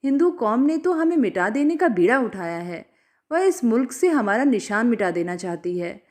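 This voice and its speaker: A stern, zealous cleric voiced by a woman storyteller, commanding and preachy. The delivery swings in pitch as he justifies himself and lays down orders in an audiobook tale. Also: sing-song, normal speed, darkish tone. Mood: self-justifying.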